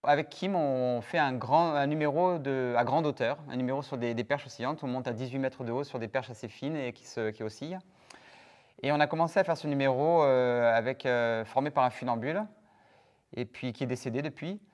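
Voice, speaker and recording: A man's voice: medium pitch, brisk, a little mellow, highs cut off. A man from France, a circus performer, answering interview questions with many hesitations. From France, Toulouse.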